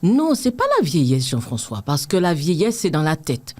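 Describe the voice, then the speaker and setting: A woman's voice: sits low, big pitch swings, normal speed, darkish tone. A woman in her fifties with a Martinican accent, chatting earnestly as an interview guest and reflecting on accepting herself. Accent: Martinican French. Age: 50s. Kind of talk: casual interview chat.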